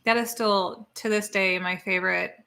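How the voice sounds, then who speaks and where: A woman's voice: mid-range voice, fairly even intonation, normal speed, gravelly, sharp tone. A woman co-hosting a live stream, chatting casually and rambling as she reads viewer comments. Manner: chatty and rambling, spelling words out. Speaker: co-host of a live watch party stream.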